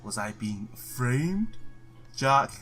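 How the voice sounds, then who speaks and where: A man's voice: medium pitch, sing-song, drawn-out words, a bit bright, faint music behind. A man doing a let's play, reading visual novel text aloud, stumbling now and then and blurting his own reactions.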